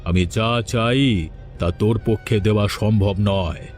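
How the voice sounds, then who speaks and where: A man's voice: fairly low pitch, moderate pace, a little mellow, faint music behind, lightly acted. Man acting a haughty, stern holy man. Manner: haughty, solemn, scolding pronouncements. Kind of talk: moral-tale cartoon voice acting.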